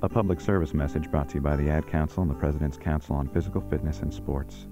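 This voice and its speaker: Extremely deep-voiced male radio announcer. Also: steady tone, fast. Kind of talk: scripted radio public service announcement. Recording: dull radio recording, music behind.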